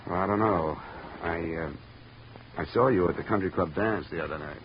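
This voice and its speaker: A low-pitched, hard-boiled private investigator played by a man, dry and wry in vintage radio style. The recording is muffled, with clear background noise. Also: moderate pace, lightly acted. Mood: smooth, probing. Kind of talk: 1950 radio detective narration and dialogue.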